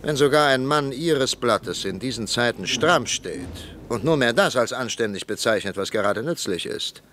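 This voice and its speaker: An actor playing a principled, weary middle-aged politician and father in a German radio drama, speaking gravely and bluntly. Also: medium pitch, animated, normal speed, fairly bright tone, a little hiss or hum, close to an everyday voice. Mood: indignant, firm.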